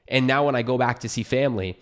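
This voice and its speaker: A man's voice: medium pitch, quick pace, clean tone. A young adult man from California with an American accent, talking to camera in a casual, unscripted way, earnest and encouraging like a YouTuber giving advice. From California, United States.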